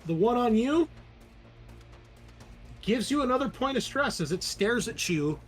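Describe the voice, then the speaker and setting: A man's voice: very high voice, lively intonation, a little slow, faint music behind. A man running a tabletop horror roleplaying game as game master, talking conversationally and working through rules with fillers.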